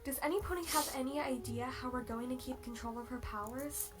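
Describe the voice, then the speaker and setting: A woman's voice: high-pitched, fairly quick, natural voice. A woman playing an anxious pony royal parent in a fantasy audio drama. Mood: worried. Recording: played clip with music underneath.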